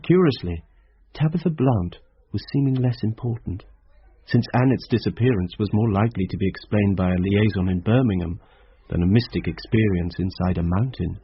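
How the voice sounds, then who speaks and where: An actor playing a schoolmaster who tells a mystery story in a radio drama, curious and uneasy. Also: male voice, on the low side, moderate pace, breathy edge. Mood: reflective. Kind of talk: radio drama narration and dialogue. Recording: slightly dull radio recording.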